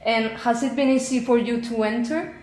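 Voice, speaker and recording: A woman's voice: fairly high pitch, normal speed, darkish tone. A woman interviewing a guest, asking questions and often murmuring short agreement. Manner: frequent short backchannel agreement. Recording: echoey room.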